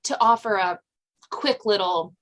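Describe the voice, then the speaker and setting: A woman's voice: mid-range voice, a little slow, a bit bright. An American woman hosting a podcast, chatting casually with a guest as a fellow writer. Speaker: podcast host and novelist. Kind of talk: casual interview chat.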